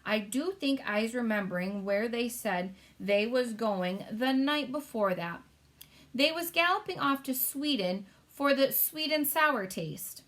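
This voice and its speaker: A woman's voice: fairly high pitch, animated, measured pace, a bit bright, pitched above the performer's own voice. A woman voicing a big friendly male giant, simple and eager, with folksy, ungrammatical speech. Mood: thoughtful.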